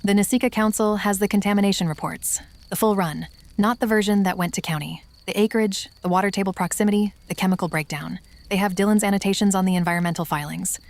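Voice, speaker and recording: An actress playing a steely negotiator in an audio drama, laying down terms in a clipped, firm, formal manner. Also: female voice, mid-range voice, fast talker, close to an everyday voice. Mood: firm, controlled.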